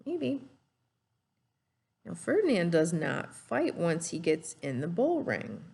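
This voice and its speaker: Creaky, low-pitched woman reading to children. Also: very animated, slow, darkish tone. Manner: simple explanations with questions to young listeners. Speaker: teacher reading a storybook to children. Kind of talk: storybook read-aloud and lesson for children.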